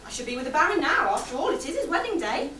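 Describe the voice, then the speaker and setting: Chirpy servant boy, played by a woman, high swooping voice, declamatory pantomime narration, echoey hall. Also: sing-song, racing, heavily acted. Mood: cheery. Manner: bright, declamatory, addressing the audience directly. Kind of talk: amateur pantomime stage acting and narration. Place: theatre or hall stage with audience. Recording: echoey hall, distant mic, audience noise.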